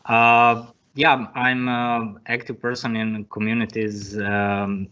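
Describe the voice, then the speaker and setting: A man's voice: medium pitch, little pitch movement, takes it slowly, bright, forceful tone, smooth. A man from Croatia, a technical manager, introducing himself plainly and a bit hesitantly on a panel. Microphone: video call. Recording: muffled video-call audio.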